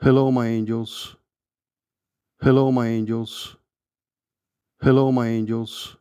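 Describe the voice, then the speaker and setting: A man delivering short, isolated catchphrases in a deliberate way, as sound effect clips. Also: mid-range voice, little pitch movement, warm tone, smooth.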